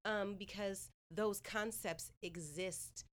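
A woman's voice: mid-range voice, fairly even intonation, slow. A woman from Northern California, a playwright and professor, talking through ideas in a thoughtful podcast conversation, with many "like"s and restarts. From Northern California, USA.

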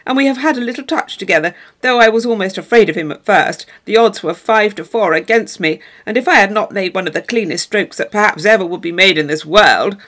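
A woman voicing a boastful young gentleman who brags and blusters. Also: mid-range voice, brisk, hardly any pauses, natural voice. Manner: bragging, blustering. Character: boastful young gentleman, loud and full of himself.

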